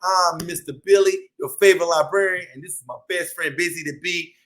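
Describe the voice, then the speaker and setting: A man's voice: high voice, fairly even intonation, a little fast, a bit bright. A man hosting a read-aloud for young children, playful and exclamatory with a sing-song delivery. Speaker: children's storytime host.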